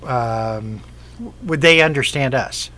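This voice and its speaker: A man hosting a talk show, asking questions slowly with many pauses and fillers. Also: medium pitch, pushed and bright, a little hiss or hum. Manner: hesitant, many fillers and restarts. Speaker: co-host. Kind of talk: casual radio chat.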